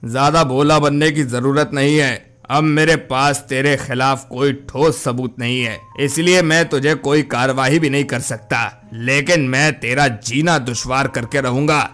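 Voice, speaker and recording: A man's voice: mid-range voice, fairly even intonation, a little slow, few pauses, a bit bright, faint music behind, voice pushed down, lightly acted. A man voicing an angry, vindictive village headman in a cartoon moral story, scolding and menacing. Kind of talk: Hindi animated moral-story dialogue.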